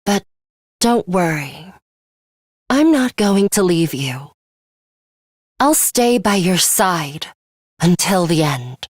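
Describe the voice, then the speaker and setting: Video game role: composed, coolly ruthless young female student fighter, deliberate slow delivery, dry studio sound. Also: fairly low pitch, big pitch swings, no echo, full character voice. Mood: grave, quietly devoted. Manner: measured, serious, deliberate. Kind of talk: English video game character voice lines.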